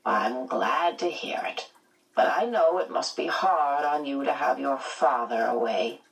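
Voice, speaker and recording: A woman voicing a stern, prying male school principal in an audiobook, measured and admonishing. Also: a bass voice, creaky, distant mic, heavily acted, poor audio. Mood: probing concern. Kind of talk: audiobook character voice.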